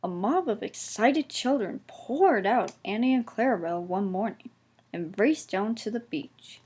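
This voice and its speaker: A woman narrates a children's storybook at an unhurried pace. Her voice has a medium pitch, and the delivery is scripted reading. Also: lively intonation, darkish tone, slightly rough.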